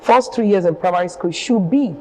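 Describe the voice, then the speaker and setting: Low, mellow-voiced woman guest. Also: poor audio. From eastern Nigeria. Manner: measured, explanatory. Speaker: panel guest. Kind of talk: TV panel discussion.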